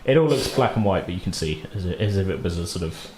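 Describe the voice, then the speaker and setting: A man's voice: low voice, expressive, fast, a crowd in the background. A man hosting and game-mastering a tabletop roleplay podcast in an informal, casual, slightly hesitant way, rephrasing as he goes.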